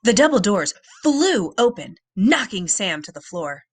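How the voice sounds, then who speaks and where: A woman reading a children's book aloud as a storyteller, with expressive, dramatic and suspenseful delivery. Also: mid-range voice, unhurried, no room sound.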